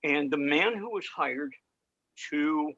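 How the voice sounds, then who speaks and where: Slow, halting older man, bright voice. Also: a little high, lively intonation. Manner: halting, storytelling. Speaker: presenter of old-time radio sessions. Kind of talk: informal talk introducing a radio show. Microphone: video call. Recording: dull video call audio.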